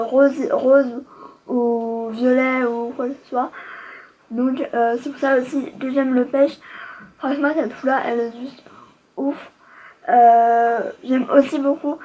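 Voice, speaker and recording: A woman's voice: sits high, darkish tone, poor audio. A woman beauty vlogger chatting casually to her viewers in a gushing, slangy, enthusiastic way. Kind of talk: casual makeup product chat to viewers.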